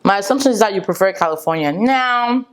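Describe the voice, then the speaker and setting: A woman's voice: mid-range voice, lively intonation, fast talker, a little hiss or hum. A young woman, a French native speaker, chatting sassily with viewers and calling them girl and honey. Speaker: beauty vlogger. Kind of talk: casual chat answering viewer assumptions.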